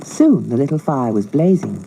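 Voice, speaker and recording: Woman reading a kids story, low mellow voice, measured and expressive. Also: gravelly, slight background noise, low-quality recording. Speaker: audiobook narrator. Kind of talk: scripted children's storybook narration.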